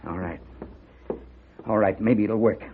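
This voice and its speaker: A man's voice: lowish voice, a little slow, a little hiss or hum, lightly acted. A man playing a wary, desperate, cornered outlaw in an old-time radio Western. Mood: wary, resigned. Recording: thin, narrow-band vintage radio recording.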